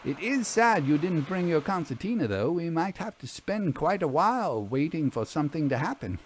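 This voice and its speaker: A man voicing an assured, brisk woman investigator in a story, with formal, articulate and inquisitive speech. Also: on the high side, sing-song, slight background noise, voice pushed up. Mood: composed, reassuring. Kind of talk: audio storytelling, acted dialogue.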